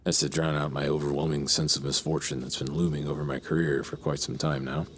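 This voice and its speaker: A man's voice: extremely low pitch, flat delivery, brisk, breathy edge. A man playing a troubled, sardonic writer in an audio drama, speaking in wordy, mannered, slightly hesitant phrases. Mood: courteous, wry.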